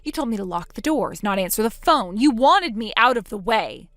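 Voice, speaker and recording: A woman's voice: moderate pace, dry recording, studio quality. A woman voicing a determined older sister who speaks firmly and steadily. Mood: cold anger. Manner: firm, steady, lower-voiced.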